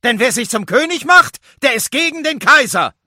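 A man reading a Bible passage and voicing the accusing priests in a high, strained, hostile way. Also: sing-song, moderate pace, pushed and bright, no echo, clean studio sound, heavily acted.

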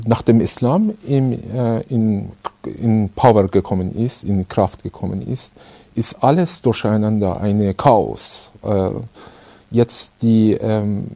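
A middle-aged man from Iran with an Iranian accent, giving a halting personal testimony full of hesitations. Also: fairly low pitch, mellow, no echo. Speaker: interviewee.

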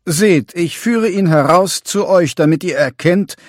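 A man's voice: sits high, a little slow, no room sound, clean studio sound, pitched above the performer's own voice. A man reading a Bible passage and voicing Pilate as an uneasy, questioning Roman governor in a raised, pressing tone.

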